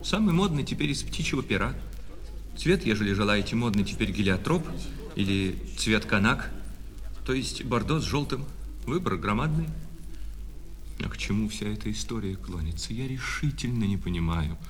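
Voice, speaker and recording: A man's voice: on the low side, animated, moderate pace, lightly acted. A man playing an obsequious, wounded male shop clerk in a radio play, switching between servile sales patter and bitter reproach. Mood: obsequious, smooth. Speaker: actor. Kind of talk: radio play acting of a classic short story. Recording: reverberant hall, music behind.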